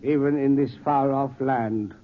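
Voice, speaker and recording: An actor playing a devoted, fearful old foreign manservant speaking ominous broken English in 1940s radio style. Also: male voice, mid-range voice, steady tone, a little slow, lightly acted. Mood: solemn foreboding. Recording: muffled noisy 1940s radio recording.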